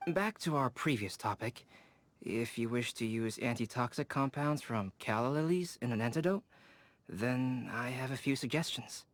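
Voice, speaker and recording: A man voicing a calm, precise young alchemist with a formal, deadpan manner in a video game. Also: mid-range voice, moderate pace, natural voice. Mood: serious, measured. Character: calm, matter-of-fact young male alchemist scholar. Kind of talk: scripted game voice acting.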